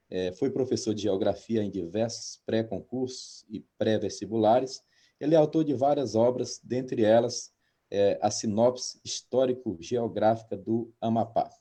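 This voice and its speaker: A man in his fifties, a pastor hosting an interview, talking hesitantly with many drawn-out filler sounds. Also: on the low side, dark tone. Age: 50s. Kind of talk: interview, reading a guest introduction.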